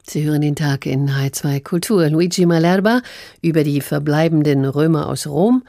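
A woman's voice: deep voice, normal speed, warm tone, dry recording, clean studio sound. A woman presenting a culture magazine on the radio from a script.

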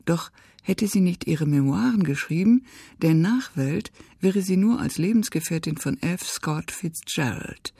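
Woman reading a book review for radio, raspy gravelly voice, dry close sound. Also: on the low side, expressive, a little slow, warm tone, no room sound.

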